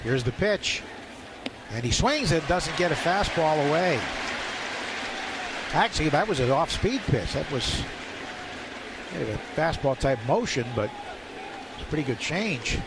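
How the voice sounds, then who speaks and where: High-pitched man calling baseball over loud noise. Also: a little slow, pauses often. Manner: clipped phrases, rising to excited calls on big plays. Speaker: play-by-play baseball announcer. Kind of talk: live radio sports commentary. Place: ballpark broadcast booth. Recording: live stadium broadcast, loud background noise.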